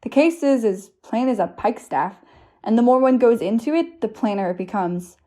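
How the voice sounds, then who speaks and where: A woman's voice: on the high side, expressive, moderate pace, dark tone, natural voice. A woman playing a smug, sly male police inspector in an amateur audio drama, formal and condescending.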